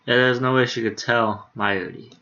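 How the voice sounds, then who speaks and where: A man's voice: medium pitch, fairly even intonation, normal speed, sharp tone, highs cut off. A tired male streamer reading a visual novel aloud in a laid-back way, with casual asides. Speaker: live streamer.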